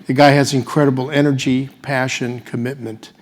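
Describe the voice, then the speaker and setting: A man hosting a panel, speaking semi-formally and off the cuff in a halting way with restarts. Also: mid-range voice, level tone. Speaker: panel moderator and host.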